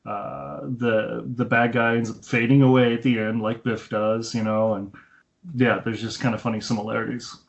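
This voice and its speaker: A man's voice: mid-range voice, flat delivery, normal speed, creaky. A man co-hosting a casual film podcast over an internet call, rambling along in an informal, conversational way. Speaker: podcast co-host reviewing films. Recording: dull, lo-fi Skype call.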